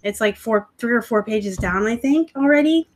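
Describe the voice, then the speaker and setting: A woman's voice: a little high, expressive, normal speed, a little hiss or hum. Chatty, snarky woman streaming live. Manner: chatty, snarky, laughs often. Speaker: livestream host, online reseller. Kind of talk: casual live-stream chat reading comments. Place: home. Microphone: laptop live stream.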